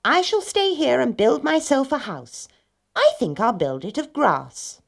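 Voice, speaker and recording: A woman voicing a young male little pig in a storybook, excitable and emphatic. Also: high-pitched, measured pace, vocal fry, voice pushed up, full character voice. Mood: cheerful. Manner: exclaiming, emphatic.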